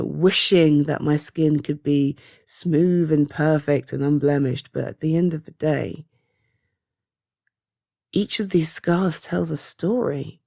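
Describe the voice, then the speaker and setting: A British woman performing an ASMR roleplay as a caring partner-like confidante, speaking softly and hesitantly with reassurance. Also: deep voice, creaky, dry recording, studio quality, heavily acted. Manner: hesitant, soft reassuring, halting pauses. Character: caring, reassuring woman comforting a loved one. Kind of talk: ASMR roleplay, one-sided intimate conversation.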